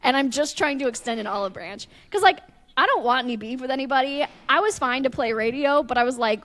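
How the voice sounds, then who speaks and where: Woman, slightly high voice, chatty podcast storyteller, acts out quotes, dry close-mic sound. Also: animated, quick pace, fairly bright tone, dry recording. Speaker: radio host and podcast co-host.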